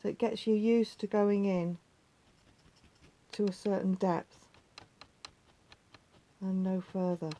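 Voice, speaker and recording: A woman with a slightly croaky voice, patiently talking viewers through a craft step by step and often dropping to a near whisper. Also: mid-range voice, steady tone, slow pace, pauses often, mellow, slight background noise, low-quality recording. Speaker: needle felting teacher. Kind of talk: hands-on craft tutorial.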